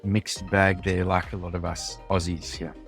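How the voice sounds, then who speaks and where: A man with a South African accent, a design leader speaking as a podcast guest in a thoughtful, measured way. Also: low voice, level tone, fairly bright tone, faint music behind. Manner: thoughtful, measured, some ums and uhs. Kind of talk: podcast interview.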